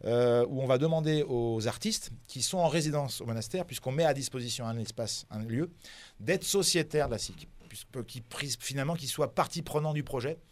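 A man's voice: medium pitch, a little fast, a little mellow, no room sound. A man whose first language is not French, presenting a cultural program in a radio interview, hesitant with many fillers and restarts.

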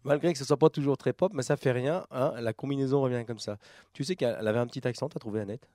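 Male co-host, lowish mellow voice, dry sound, jokey rambling banter. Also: fast, dry recording. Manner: joking, rambling with fillers. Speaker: radio host. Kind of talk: casual radio banter.

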